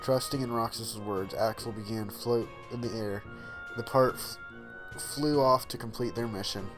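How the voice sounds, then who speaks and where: A man hosting a casual reaction video, exclaiming briefly and reading on-screen text aloud haltingly. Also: fairly low pitch, music in the background.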